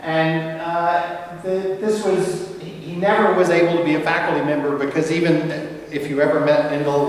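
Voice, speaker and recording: An older man giving a friendly, rambling academic lecture with many fillers and restarts. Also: on the high side. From United States. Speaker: guest lecturer. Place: large lecture hall. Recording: echoey hall from far off.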